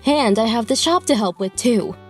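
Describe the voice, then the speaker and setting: A woman voicing a wronged but resolute young wife and mother in a dramatized manga dub, firm and expressive. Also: fairly high pitch, normal speed, faint music behind, lightly acted. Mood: calm, resolved.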